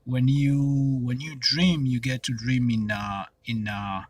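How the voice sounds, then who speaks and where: A man's voice: medium pitch, steady tone, takes it slowly, breathy edge. A young Kenyan man, Swahili-speaking by birth, talking to camera in a loose, rambling way with many restarts and fillers. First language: Swahili and another Kenyan language. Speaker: vlogger. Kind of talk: casual talk to camera.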